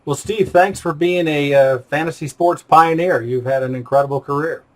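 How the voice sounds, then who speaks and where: A man's voice: fairly high pitch, moderate pace. A man hosting an interview in a casual, conversational way, thinking aloud as he explains. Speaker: interviewer, host.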